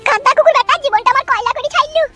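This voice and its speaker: A woman voicing a shrill, sarcastic, foul-mouthed village wife in a comic cartoon. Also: racing, loud music behind the voice. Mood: furious. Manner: scolding, sarcastic.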